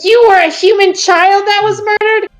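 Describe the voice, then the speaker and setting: A woman's voice: very high voice, fairly even intonation, moderate pace, voice pushed up. A woman voicing a shocked young lioness in a mock-dramatic fan fiction reading. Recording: music behind the voice.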